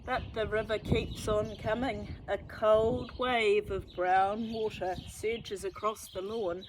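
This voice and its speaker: A woman reading a children's story aloud expressively, with deliberate, dramatic phrasing. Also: mid-range voice, steady tone, noisy background. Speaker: storybook reader.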